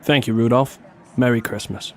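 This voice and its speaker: A man's voice: mid-range voice, steady tone, moderate pace, close to an everyday voice. A man playing a tough, sardonic police detective in a noir comedy audio drama, with a threatening edge. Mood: wry, businesslike. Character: tough, wry hard-boiled male police detective. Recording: music behind the voice.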